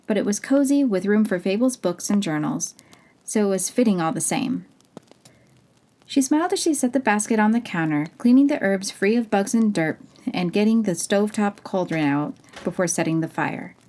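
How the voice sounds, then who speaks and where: A woman narrating a fantasy storybook at an easy, gentle pace. Her voice sits in an ordinary middle pitch. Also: animated, normal speed. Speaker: audiobook narrator.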